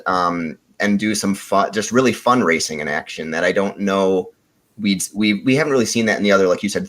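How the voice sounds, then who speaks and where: Deep-voiced man in casual debate. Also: a bit bright. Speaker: podcast contestant pitching movie ideas.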